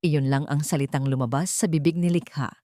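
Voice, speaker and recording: A woman's voice: low-pitched, steady tone, brisk, warm tone, no echo, studio quality. A woman reading a scripted, dramatic story as its narrator.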